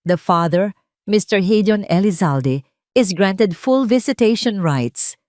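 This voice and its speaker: Woman as a slow, formal Filipino judge. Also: mid-range voice, animated, a little mellow, no room sound, studio quality. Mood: formal, firm. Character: formal courtroom judge delivering rulings. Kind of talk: audio story character acting.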